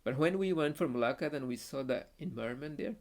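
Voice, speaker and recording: Young man, Bangladeshi accent, native Bengali speaker, gravelly, halting, full of fillers, podcast guest. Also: fairly high pitch, expressive, a little mellow. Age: young adult. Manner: halting, with frequent restarts and fillers. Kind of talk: casual conversational storytelling.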